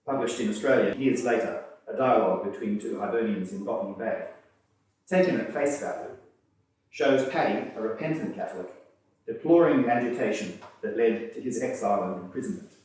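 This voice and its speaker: A man giving a scripted historical narration in a measured, literary, wryly understated manner. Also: on the low side, darkish tone. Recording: distant, very echoey room.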